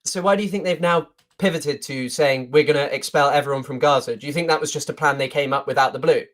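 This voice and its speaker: A smug man in a heated online debate, fast and sarcastic. His pitch sits a touch above average. He rushes through his points and cuts in often. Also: a bit bright. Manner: smug, sarcastic, talks over the other. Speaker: debate guest, TikToker.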